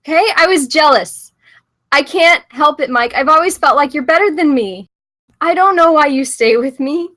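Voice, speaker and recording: A woman's voice: sits high, normal speed, fairly bright tone. An actress at a screenplay table read playing Jess, an anxious, devoted woman who speaks in an earnest, pleading, placating way. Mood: confessional, tender.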